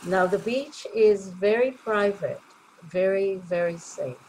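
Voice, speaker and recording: A woman leading a guided meditation in a gentle, soothing way, leaving long pauses between short phrases. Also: medium pitch, slight background noise, low-quality recording.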